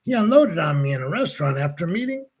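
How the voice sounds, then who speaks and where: A man in his sixties hosting a casual chat, telling stories with halting restarts. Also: a little high, big pitch swings, a little mellow. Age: 60s. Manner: rambling storyteller, halting restarts. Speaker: show host.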